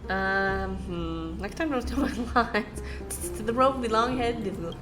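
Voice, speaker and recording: A woman gives casual reaction commentary on a game. Her mid-pitched voice is chatty and playful as she thinks aloud, with music clearly audible behind. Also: normal speed. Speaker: gaming reaction streamer.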